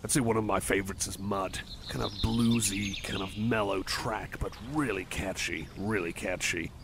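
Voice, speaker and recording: Shy, creaky-voiced rambling cyborg buddy played by a man. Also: lowish voice, fairly even intonation, natural voice. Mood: enthusiastic, rambling. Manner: hesitant, halting, rambling, apologetic. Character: shy, kindly, rambling male cyborg friend, eager game-music nerd. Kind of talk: roleplay ASMR, casual one-sided chat. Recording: noisy outdoor ambience with birdsong.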